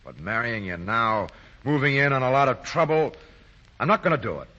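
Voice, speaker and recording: A man's voice: mid-range voice, lively intonation, moderate pace. An actor playing a responsible, earnest young man in a 1940s radio drama. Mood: grave, earnest. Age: young adult. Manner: earnest, forceful. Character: upright, indignant, responsible grown son and fiancé. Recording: muffled old 1940s radio recording.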